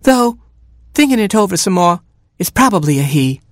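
A storyteller voicing a bossy, quick-tempered mother hen who clucks and scolds. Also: medium pitch, very animated, no room sound, clean studio sound, voice pushed up, natural voice. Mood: scolding.

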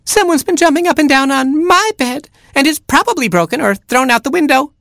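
A storyteller voicing a tiny, excitable boy chick who squeals and whines dramatically. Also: fairly quick, creaky, no echo, clean studio sound, heavily acted. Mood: squealing. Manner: shrill, squealing and breathless.

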